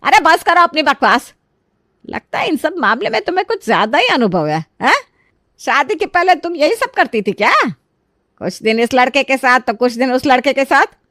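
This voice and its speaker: Woman acting a scolding older mother-in-law, lowered voice. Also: expressive, moderate pace, fairly bright tone, no room sound, studio quality, lightly acted. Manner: scolding, accusing, taunting. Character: sharp-tongued, suspicious mother-in-law, older woman, protective of daughter.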